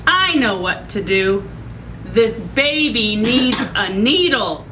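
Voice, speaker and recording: A woman's voice: on the high side, animated, slow pace, pushed and bright, slight background noise, exaggerated voice. A woman voicing a confident, picky woman doctor in a children's picture-book story. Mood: confident, eager.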